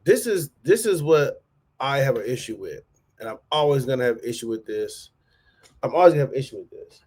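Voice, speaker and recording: A man's voice: medium pitch, expressive, a little fast, a little mellow, slightly rough. A man hosting a reaction channel, talking loosely in slang and repeating himself as he comments on a clip. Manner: loose, slangy and repetitive, restating points.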